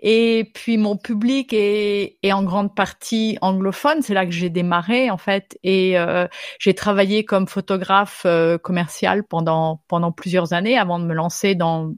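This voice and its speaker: A woman's voice: mid-range voice, a little slow, clean tone, no room sound, clean studio sound. French woman photographer in casual chat. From France. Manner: lively, hesitant restarts, laughs easily. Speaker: guest photographer. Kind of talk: casual interview conversation.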